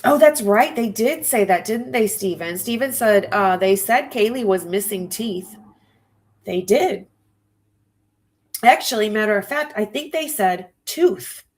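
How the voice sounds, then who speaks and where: A woman's voice: mid-range voice, expressive, unhurried. A woman hosting a true crime livestream, musing aloud to her viewers with a stuffy nose. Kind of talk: casual live-stream commentary.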